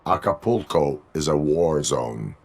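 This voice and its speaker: Deep, deadpan cyborg cop. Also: male voice. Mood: dry, resolute. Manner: deliberate, clipped, formal, deadpan. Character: stoic male cyborg police officer, formal and dutiful. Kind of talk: video game voice acting.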